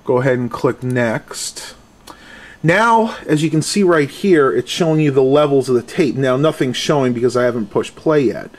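A man's voice: medium pitch, moderate pace. A man giving a casual hands-on tech demonstration and review, speaking into a handheld camera at home. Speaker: product reviewer. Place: small furnished room at home. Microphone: handheld camera's built-in mic, held by the speaker.

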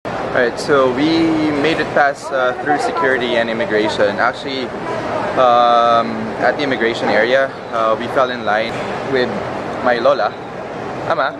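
A young man narrates a casual travel vlog at a middling pitch. He is recorded on a camera at arm's length in a busy airport terminal, with loud ambient noise all around him. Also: steady tone, normal speed. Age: young adult. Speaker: travel vlogger. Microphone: camera mic at arm's length.

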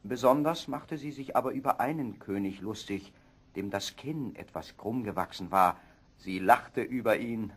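A man narrating a scripted fairy tale as an expressive storyteller. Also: lowish voice, moderate pace, breathy edge.

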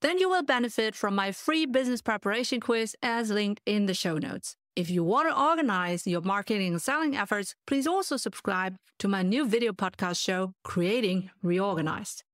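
A woman's voice: medium pitch, expressive, moderate pace, hardly any pauses, a bit bright, no room sound, studio quality. A woman hosting a podcast, reading her intro and welcoming a guest with enthusiasm. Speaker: podcast host. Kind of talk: scripted intro and interview.

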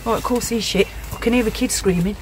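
A woman's voice: medium pitch, fast. Woman vlogging a ghost hunt outside, middle aged, chatty casual style, really noisy handheld audio. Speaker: paranormal investigator vlogger. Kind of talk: casual ghost-hunting vlog. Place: dark outdoor stone-walled alley at night. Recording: noisy outdoor handheld recording.

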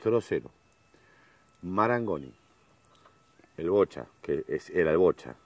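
Lively Argentine host, slightly off-mic. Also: male voice, deep voice, measured pace, dry recording, far from the mic. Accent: Rioplatense Spanish. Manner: prompting, finishes guest's sentences. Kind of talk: interview chat. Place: outdoor garden cooking set.

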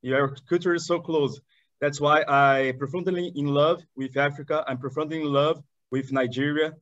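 A man in his thirties from southern Brazil, a Portuguese speaker with a Brazilian accent, talking eagerly as an interview guest in halting English with many restarts. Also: fairly high pitch, steady tone, a bit bright, no echo. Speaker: guest, chamber of commerce director. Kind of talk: video call interview. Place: indoor room in front of curtains. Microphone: video call webcam. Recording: dull dry webcam audio.

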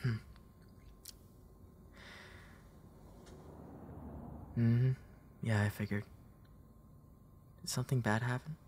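A young man playing a gentle, protective, playful big brother in a comfort roleplay, speaking in a hesitant, soothing way. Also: medium pitch, fairly even intonation, a little hiss or hum, natural voice. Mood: gentle concern. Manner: hesitant, soothing, halting delivery. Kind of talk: intimate comfort roleplay audio.

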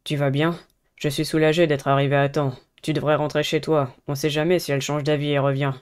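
A woman voicing a serious, protective teenage boy from a visual novel, speaking in a measured, earnest way. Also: low voice, level tone, clear, resonant tone, voice pushed down, close to an everyday voice. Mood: concerned. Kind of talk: visual novel character reading.

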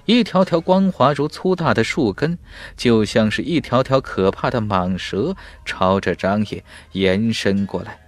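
Low-pitched, animated male audiobook narrator. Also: unhurried, hardly any pauses, faint music behind. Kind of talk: dramatic scripted narration of a fantasy novel.

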